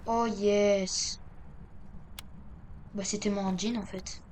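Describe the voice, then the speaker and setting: A woman's voice: medium pitch, level tone, slow pace, darkish tone. A woman giving casual video game commentary, thinking aloud to herself and often repeating her phrases. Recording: clear background noise, game ambience.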